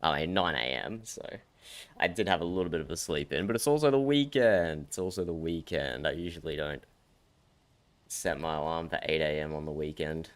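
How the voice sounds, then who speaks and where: A man hosting a livestream, croaky from just waking up, rambling and correcting himself as he chats and teases his guest. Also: a bass voice, normal speed. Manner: rambling, self-correcting, teasing. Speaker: streamer host. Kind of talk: casual livestream chat. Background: faint background music.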